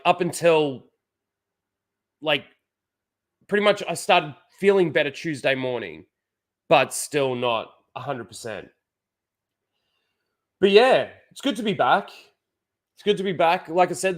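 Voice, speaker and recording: A man's voice: on the high side, animated, slow, pauses often, fairly bright tone. A man with a head cold and blocked nose, rambling casually to his chat as a livestream host, full of "like" and restarts.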